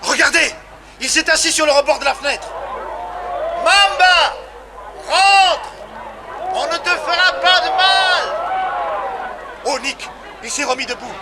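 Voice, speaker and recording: Radio drama hotelkeeper: horrified, pleading, extremely high exclamations over a noisy street crowd. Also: male voice, expressive, slow, pauses often, heavily acted. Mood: panicked. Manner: shrill, exclamatory. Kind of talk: 1960s French radio drama acting.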